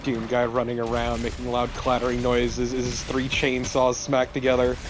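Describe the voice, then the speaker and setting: A man's voice: mid-range voice, monotone, normal speed. A man chatting casually as a co-commentator on a video game, swearing now and then. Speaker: let's play co-commentator. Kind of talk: casual banter over gameplay. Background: game music.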